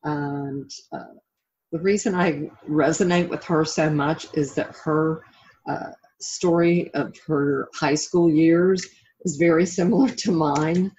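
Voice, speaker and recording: A woman's voice: deep voice, expressive, takes it slowly, creaky, a little mellow. A middle-aged woman chatting informally and reflectively as an interview guest. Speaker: interview guest, author and life coach. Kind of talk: casual interview chat. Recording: dull lo-fi call audio.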